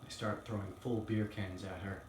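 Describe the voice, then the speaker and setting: Male actor as earnest young trial lawyer addressing a jury; low-pitched, solemn, halting stage monologue, roomy sound. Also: level tone, natural voice. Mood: grave, hushed. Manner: solemn, halting, with weighty pauses. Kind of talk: dramatic courtroom monologue performance. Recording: roomy, distant mic, faint noise.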